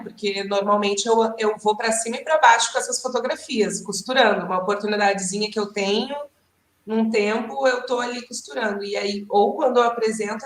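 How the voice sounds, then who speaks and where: A Brazilian woman hosting an online workshop on a video call, chatty and friendly, often pausing with fillers. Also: medium pitch. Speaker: workshop host and artist. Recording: echoey room.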